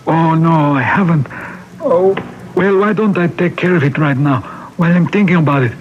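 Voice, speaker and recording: A man playing a polite, higher-voiced parish priest. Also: moderate pace, natural voice. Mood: polite, businesslike. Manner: polite, hesitant, gently deferential. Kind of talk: old-time radio mystery drama acting. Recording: muffled vintage radio broadcast, background hiss.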